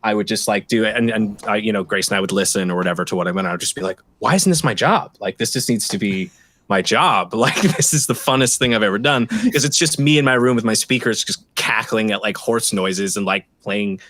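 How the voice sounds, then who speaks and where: A man's voice: fairly low pitch, fairly quick, a bit bright. A man chatting casually and enthusiastically on a live stream, rambling with lots of "like" and "sort of". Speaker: sound designer and musician on an audio drama.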